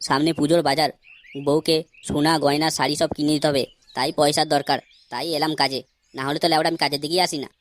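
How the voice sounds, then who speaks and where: A man's voice: fairly high pitch, flat delivery, quick pace, noisy background, lightly acted. A man voicing a lazy, henpecked young husband in an amateur comedy cartoon, grumbling crudely. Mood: sheepish grumbling.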